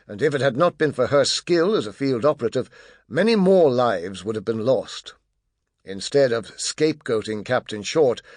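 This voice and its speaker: A man's voice: medium pitch, dry recording, clean studio sound. A man reading a children's fantasy audiobook as narrator, telling the story expressively with emphatic stresses.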